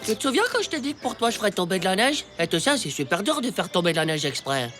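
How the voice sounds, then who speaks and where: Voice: mid-range voice, sing-song, fast, over music, close to an everyday voice. An actor voicing a cheeky, lovestruck ten-year-old schoolboy in a cartoon, boastful and stammering when pressed. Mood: eager, scheming. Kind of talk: animated cartoon dialogue.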